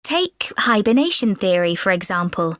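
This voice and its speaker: A woman's voice: mid-range voice, very animated, a bit bright, no room sound. Woman reading a scripted presentation. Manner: clear, measured delivery. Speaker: lecture speaker in a listening exam recording. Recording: dry studio voice recording.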